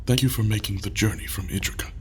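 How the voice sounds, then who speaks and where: A man's voice: on the low side, fast, natural voice. A man playing an anxious, proud young man who broods. He talks in a weary, guarded and terse way. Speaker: troubled leader. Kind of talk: audio drama acting.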